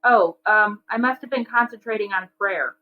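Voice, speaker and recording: A woman's voice: mid-range voice, moderate pace, narrow, tinny audio, close to an everyday voice. A woman voicing a defiant, reluctant adolescent boy in a children's storybook, arguing and whining. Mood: evasive.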